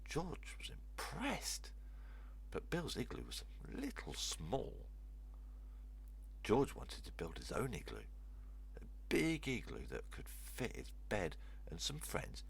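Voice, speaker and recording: An older man reads a children's story aloud in a low voice with a gravelly creak. His intonation moves up and down in a lively storyteller's way. A faint hum sits behind the narration.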